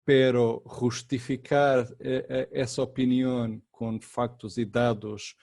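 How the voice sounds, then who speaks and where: A consultant giving a webinar masterclass, speaking slowly in a second language that is not his native Portuguese, with frequent fillers and repeated words. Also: medium pitch, level tone, gravelly, darkish tone, no echo, clean studio sound. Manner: slow and deliberate, many fillers and repeated words. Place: online webinar.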